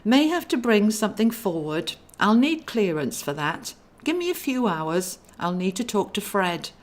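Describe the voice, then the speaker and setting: A woman's voice: mid-range voice, sing-song, normal speed, voice pushed up, lightly acted. A woman voicing a kindly male angel in a storybook, a genteel, musing big brother with a plan. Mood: planning.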